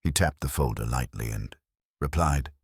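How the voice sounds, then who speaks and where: A man reading a scripted story as narrator in a measured, formal way. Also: a bass voice, darkish tone, slightly rough, dry recording, clean studio sound.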